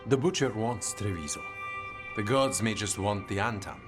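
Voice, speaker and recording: A man voicing a composed, calculating assassin leader in a video game, with a measured, formal delivery. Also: fairly low pitch, music in the background, lightly acted.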